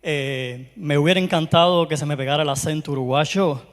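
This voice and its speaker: A man's voice: on the high side, fairly even intonation, fairly quick. Cuban-accented man in a reverberant hall. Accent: Cuban Spanish. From Cuba. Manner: warm, chatty, frequent hesitations and restarts. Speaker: conference speaker. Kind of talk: conference talk. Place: conference hall.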